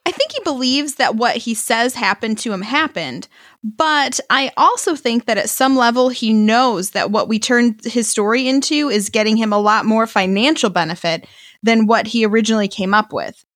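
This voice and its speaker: A woman's voice: on the high side, normal speed, a bit bright, no room sound, clean studio sound, natural voice. An actress playing a shrewd, cynical woman con artist who is wry and matter-of-fact, in an audio play. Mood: wry, businesslike.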